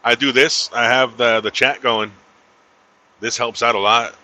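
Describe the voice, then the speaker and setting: A man's voice: medium pitch, fairly even intonation, measured pace, pushed and bright, low-quality recording. A man in his thirties, a truck driver chatting casually to a live stream from his cab as he drives, laid-back and drawling, saying "man" a lot. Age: 30s. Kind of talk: casual livestream chat while driving. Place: inside a truck cab on the road.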